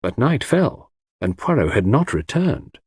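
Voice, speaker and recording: Animated husky male audiobook narrator. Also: mid-range voice, a little slow, no echo, clean studio sound. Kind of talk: dramatic scripted narration of a mystery novel.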